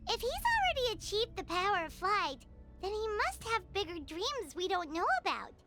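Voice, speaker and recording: A woman voicing a small, childlike, excitable fairy companion in a video game, chatty and squeaky. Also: moderate pace, music in the background, exaggerated voice. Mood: puzzled, curious. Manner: exclamatory, cutesy, expressive.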